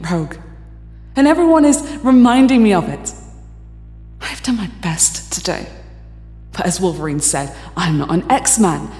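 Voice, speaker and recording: A woman's voice: lowish voice, a little mellow. A woman playing a weary, self-doubting telepath in an audio drama, with a reserved, polite and careful delivery. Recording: echoey hall reverb, music underneath.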